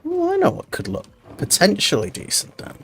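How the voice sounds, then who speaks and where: A man's voice: extremely high pitch, huge pitch swings, normal speed, creaky. A man chatting casually while he plays a building game, thinking aloud and rambling. He sounds tired and stuffy-nosed, with a cold. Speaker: streamer. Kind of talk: casual gameplay commentary. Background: background music.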